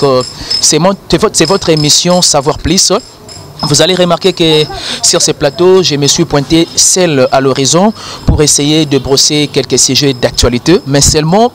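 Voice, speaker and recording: A man's voice: on the high side, a little hiss or hum. A man presenting culture news while sick with flu and a cough, formal with frequent hesitations. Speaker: web TV presenter.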